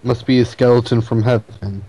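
A young man playing a game with friends, calm and dry, speaking in few words. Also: medium pitch, normal speed, low-quality recording. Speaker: gaming friend. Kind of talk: casual multiplayer gaming chat.

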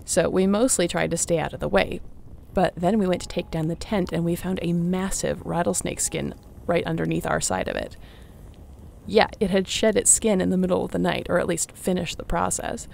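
A woman playing a young apocalypse survivor, a tender, teasing companion in an intimate audio roleplay, with a creaky voice. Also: lowish voice, fairly even intonation, a little mellow, a little hiss or hum. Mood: amused storytelling. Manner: unhurried, intimate, playful.

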